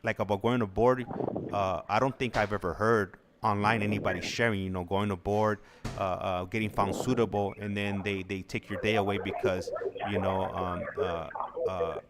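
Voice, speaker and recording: A man hosting a casual interview show, speaking in a halting, repetitive, hesitant way. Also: fairly low pitch, fairly even intonation. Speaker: show host. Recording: noisy background with clicks.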